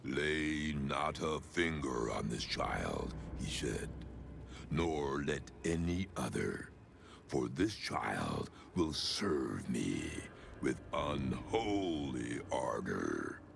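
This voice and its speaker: An older man playing an ancient, sinister cult leader in a video game, with a very deep gravelly voice and a solemn, measured, archaic delivery. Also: big pitch swings, background noise, heavily acted. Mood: dark reverence. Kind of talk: dramatic video game monologue.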